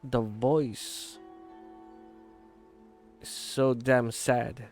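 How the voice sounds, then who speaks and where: A man's voice: mid-range voice, steady tone, extremely slow, darkish tone. A man who streams games, giving casual live reaction commentary as he plays. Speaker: gaming streamer reacting to a game. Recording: voice over game music.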